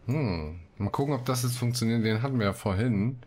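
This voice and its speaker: A man's voice: medium pitch, brisk, a little mellow, slight background noise. A man hosting a let's play, mixing casual commentary with read-out quest texts.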